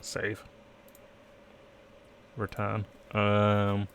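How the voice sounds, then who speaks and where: Male game streamer, low register with plenty of fry, reading dialogue and narration aloud between playful asides. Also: monotone, very slow, a bit bright, a little hiss or hum. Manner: playful asides, trying out accents. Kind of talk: live let's play, reading game text aloud.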